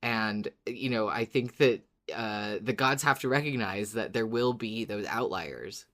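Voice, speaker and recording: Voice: medium pitch, measured pace, a bit bright. A podcast co-host in casual discussion, thoughtful and a little hesitant in phrasing.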